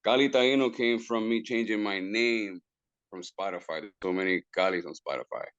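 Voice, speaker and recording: A man's voice: on the low side, normal speed, a bit bright, clear, resonant tone, no echo, lo-fi sound. A man, a guest musician who talks casually, stumbles over repeated words and drops into Spanish phrases. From Puerto Rico. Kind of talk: casual interview talk.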